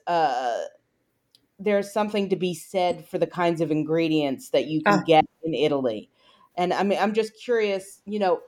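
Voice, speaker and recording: A woman's voice: lowish voice, a little mellow. A woman interviewing an author, asking curious questions in a chatty way that is full of "you know" fillers. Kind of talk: interview conversation.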